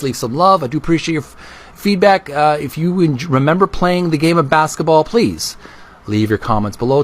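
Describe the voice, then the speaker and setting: A man hosting a casual vlog, talking and reading aloud in an earnest, deliberate way with drawn-out emphasis. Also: a little high. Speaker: video host. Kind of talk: casual vlog narration with book read aloud.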